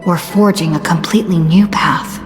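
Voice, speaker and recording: An actress playing a thoughtful, burdened young game heroine, musing to herself in a hushed, breathy, introspective way. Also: female voice, fairly low pitch, steady tone, moderate pace, in a large hall, over music, lightly acted. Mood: pensive, worried. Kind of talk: scripted video game monologue.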